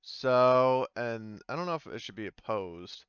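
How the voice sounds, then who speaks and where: A man acting as game master, casually narrating a tabletop game and often pausing to think aloud. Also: medium pitch, lively intonation, a bit bright, clear, resonant tone, dry recording, muffled recording.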